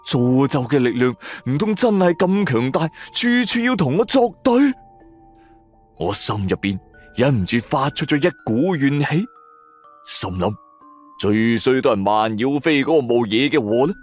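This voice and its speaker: A male storyteller delivers a supernatural radio serial. His intonation rises and falls dramatically, with suspenseful, emphatic stresses. Soft music plays underneath. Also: medium pitch, very animated, measured pace. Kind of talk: dramatic first-person horror storytelling.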